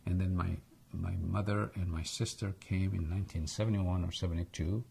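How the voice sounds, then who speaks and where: An older man from Egypt with a foreign accent, reflecting haltingly in an oral history interview. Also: deep voice, steady tone, gravelly, warm tone, faint music behind. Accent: foreign English accent.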